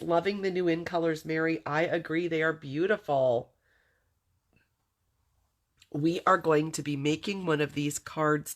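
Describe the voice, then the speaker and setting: A woman from Southern California hosting a craft livestream, chatting warmly with viewers and greeting them by name, her nose stuffy from a cold. She talks in a friendly, easygoing way and laughs often between remarks. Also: deep voice.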